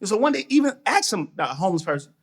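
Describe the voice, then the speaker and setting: Animated New man, fairly high voice. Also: quick pace, slightly rough. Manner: animated, slangy, repeats phrases. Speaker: podcast guest, mental toughness trainer. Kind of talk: casual podcast conversation.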